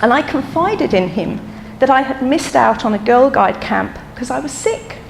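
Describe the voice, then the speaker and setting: A woman with a mixed London, Irish and Australian accent giving a heartfelt eulogy in measured, pausing speech. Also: sits high, lively intonation, vocal fry, in a large hall, noisy background. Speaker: eulogist, the deceased's daughter. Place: memorial service hall.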